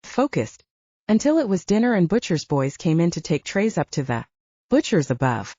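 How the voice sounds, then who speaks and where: Low mellow woman narrating an audiobook. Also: normal speed, breathy edge, no echo. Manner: expressive storytelling with lively voiced dialogue. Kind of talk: first-person audiobook narration. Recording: dull lo-fi dry recording.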